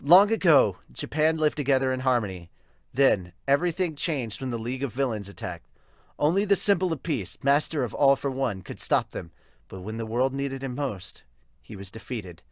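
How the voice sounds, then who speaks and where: A man voicing a solemn, earnest storyteller who narrates an epic cartoon prologue with measured emphasis. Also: mid-range voice, expressive, no room sound, studio quality, natural voice.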